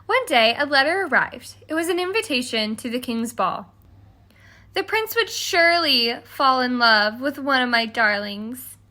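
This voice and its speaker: A woman's voice: high-pitched, lively intonation, measured pace, bright, forceful tone, exaggerated voice. A woman reading a children's storybook as a haughty, exasperated stepmother who tells her side with dramatic emphasis. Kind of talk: scripted children's storybook read-aloud.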